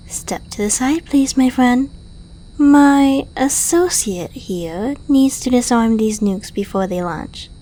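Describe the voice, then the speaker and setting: A woman's voice: mid-range voice, mellow, a little hiss or hum, poor audio. A woman playing a suave, flirtatious secret agent in an ASMR roleplay, speaking to the listener in a playful, polite and coolly menacing way. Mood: composed, polite. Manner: playful, polite, coaxing, unhurried.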